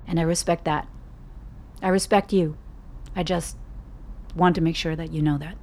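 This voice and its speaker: Casting: actress as a controlling middle-aged scientist, measured and low-voiced, for a radio play. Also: female voice, fairly even intonation, a little mellow. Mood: tentative. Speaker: actor.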